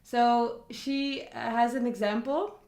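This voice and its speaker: A woman's voice: on the high side, fairly even intonation, slow pace, a little mellow. A young woman, chatting casually on camera about a book she read and adding many asides. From Netherlands. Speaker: vlogger. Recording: echoey room.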